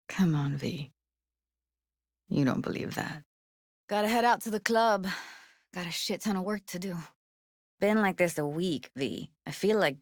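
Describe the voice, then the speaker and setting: A woman's voice: lowish voice, gravelly, darkish tone, no echo, studio quality. A woman playing a guarded, blunt but tender young techie in a video game, speaking in a casual, clipped, streetwise way. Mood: concerned, guarded. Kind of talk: naturalistic video game voice acting.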